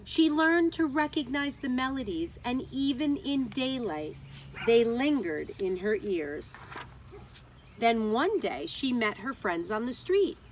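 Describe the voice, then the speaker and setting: A woman's voice: fairly high pitch, very animated, slow pace, a little hiss or hum, low-quality recording. A woman teacher reading a children's story aloud outdoors, expressively, with dramatic emphasis on key words.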